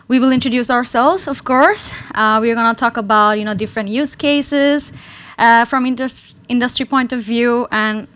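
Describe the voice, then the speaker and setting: A woman's voice: on the high side, normal speed, fairly bright tone, smooth. A young woman from Bangladesh, a data analyst, presenting a talk and often adding "right?" to her points. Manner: adds tag "right?" often. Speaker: data analyst and engineer, speaker.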